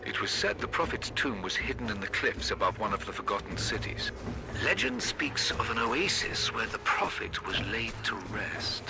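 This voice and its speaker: Scholarly father, male, solemn, low pitch, gravelly, ancient-legend narration, video game. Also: sing-song, normal speed, over music.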